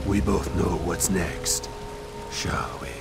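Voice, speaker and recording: An actor playing an ancient, haughty, menacing vampire overlord in a video game cutscene, with gravelly, deliberate speech. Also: male voice, low voice, little pitch movement, over loud music, heavily acted. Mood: cold menace.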